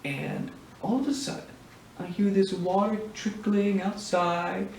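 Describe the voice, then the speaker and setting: Man acting crude rambling guy, high voice, sweary, incredulous, casual comic anecdote, echoey room. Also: unhurried, gravelly. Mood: amused, exasperated. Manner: rambling, sweary, incredulous, full of filler words. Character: crude, slacker-ish guy spinning a wild camping yarn. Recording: echoey room, distant mic, faint hiss.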